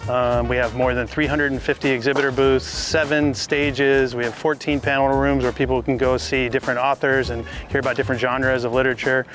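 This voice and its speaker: A man, a production manager, speaking plainly in an on-camera interview. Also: medium pitch.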